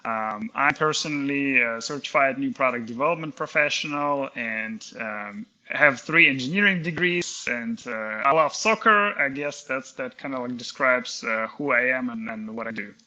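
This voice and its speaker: Bright-voiced man from Kazakhstan. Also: medium pitch, normal speed, hardly any pauses, poor audio. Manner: hesitant, many fillers and restarts. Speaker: inventor guest. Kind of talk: casual interview talk.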